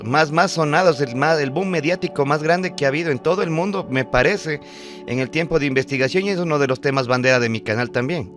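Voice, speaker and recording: A man's voice: a little high, fast talker, a bit bright, faint music behind. A man hosting an interview, attentive and giving brief affirmations. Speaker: channel host. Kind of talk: conversational interview.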